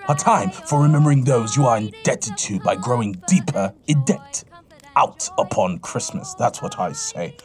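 A man's voice: mid-range voice, huge pitch swings, moderate pace, a little mellow, soft background music. An actor playing a bitter, miserly old man in an audio drama, scornful and dismissive in a theatrical way. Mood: scornful ranting.

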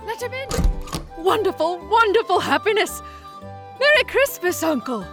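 A woman playing an earnest, kindly Victorian gentleman in a theatrical audio drama style. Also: very high-pitched, very animated, moderate pace, over music, full character voice. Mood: delighted.

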